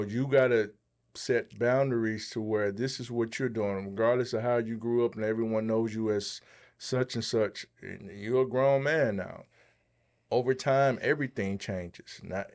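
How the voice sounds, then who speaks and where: Man, fairly low voice, podcast host, conversational advice-giving. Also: darkish tone.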